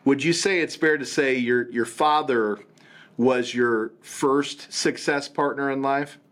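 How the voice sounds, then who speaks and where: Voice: medium pitch, steady tone, slow, a bit bright. Encouraging podcast host. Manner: encouraging, frequent short affirmations. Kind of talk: casual interview chat.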